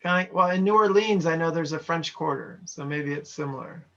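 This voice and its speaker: Bright-voiced man on a lo-fi call. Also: a little high, fairly quick. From Montana, USA. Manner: chatty, reads chat messages aloud and comments. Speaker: trainer. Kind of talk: casual chat with online participants. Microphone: video call. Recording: lo-fi video call audio.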